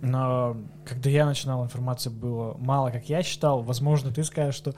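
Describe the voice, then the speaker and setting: A man with a medium-pitched voice hosts a casual podcast, putting long, meandering questions to his guest. His tone is informal and conversational. A faint hum runs behind the recording. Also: steady tone, a little fast, darkish tone. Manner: informal, loose rambling questions. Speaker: podcast host.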